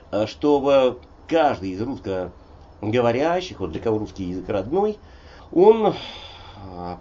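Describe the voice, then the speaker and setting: A man in his sixties from Russia, a poet talking in a relaxed interview, rambling and digressive with many hesitations. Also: medium pitch, dull audio, slight background noise. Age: 60s. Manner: digressive, frequent hesitations and "vot" fillers. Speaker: poet and polyglot guest. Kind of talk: conversational interview, discursive storytelling.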